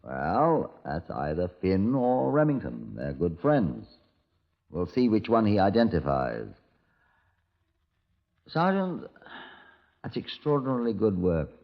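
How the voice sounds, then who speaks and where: An actor playing a senior police detective, formal, dry and commanding, in a 1950s radio drama. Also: male voice, sits low, wildly swooping pitch, slow pace, long pauses, exaggerated voice. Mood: brisk, commanding. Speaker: detective narrating his case. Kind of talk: 1950s radio drama acting and scripted narration. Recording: dull, lo-fi 1950s radio recording.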